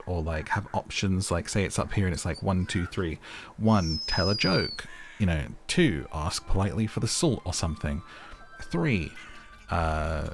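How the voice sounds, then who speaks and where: A man's voice: sits low, slight background noise. A man giving casual gameplay commentary, chatty and musing aloud. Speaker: gaming YouTuber.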